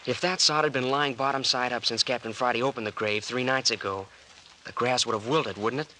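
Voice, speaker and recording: A man's voice: mid-range voice, fairly even intonation, fairly quick, bright, forceful tone, close to an everyday voice. An actor playing an earnest, stubborn young man with a protective streak, in old-time radio drama style. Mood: insistent. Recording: noisy vintage radio recording.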